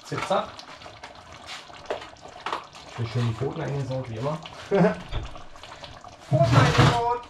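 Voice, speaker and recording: A man's voice: a little high, very animated, slow pace, long pauses. Chatty man cooking in a noisy kitchen. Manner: chatty, many fillers, talks while cooking. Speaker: cooking show host. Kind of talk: casual cooking demonstration. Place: small home kitchen. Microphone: camera mic across the room.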